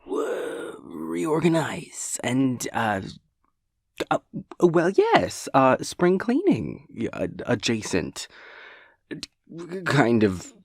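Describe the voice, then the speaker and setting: A man playing a chaotic, nervous, evasive boyfriend in a roleplay audio, stammering and rambling with halting hesitations. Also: medium pitch, huge pitch swings, vocal fry, dry recording, studio quality, full character voice. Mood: flustered, evasive. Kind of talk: improvised-sounding roleplay audio monologue.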